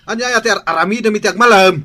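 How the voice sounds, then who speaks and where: An actor with an Ilocano accent playing a nagging, exasperated husband in a radio drama. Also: male voice, sits high, very fast, bright, forceful tone. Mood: complaining.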